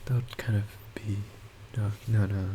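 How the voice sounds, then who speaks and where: A young man speaks in a quiet, low, soft voice, slow and nearly monotone. He hesitates and circles back on himself, with fillers in nearly every sentence. His boosted desktop mic also picks up a clear hiss and keyboard typing. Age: young adult. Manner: hesitant, halting, many restarts and fillers. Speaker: college student. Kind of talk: rambling personal monologue. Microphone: desktop microphone with boosted gain.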